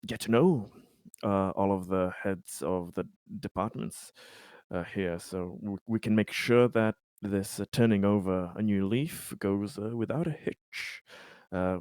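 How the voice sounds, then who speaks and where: A man's voice: on the low side, steady tone, unhurried, darkish tone, clean tone, no room sound. A man improvising in-character roleplay dialogue, speaking formally and hesitantly and often repeating phrases. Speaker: tabletop roleplayer.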